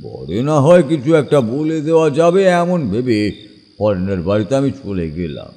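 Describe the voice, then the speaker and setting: A man's voice: mid-range voice, sing-song, unhurried, warm tone. A man narrating a horror story as a dramatic scripted audio tale. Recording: long reverb, music behind.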